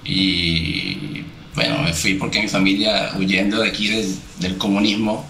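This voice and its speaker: A man from Chile who grew up in Venezuela, telling stories in a relaxed, chatty way as an interview guest. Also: deep voice, measured pace, vocal fry, roomy sound, noisy background. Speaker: guitarist, guest. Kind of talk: casual interview.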